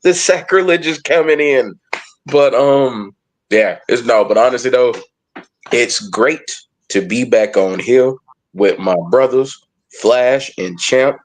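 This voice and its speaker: A man from Georgia co-hosting a sports podcast, excited and upbeat. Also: medium pitch, low-quality recording. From Georgia, USA. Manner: excited, upbeat, quick bursts of praise. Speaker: podcast co-host. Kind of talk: casual sports podcast chat.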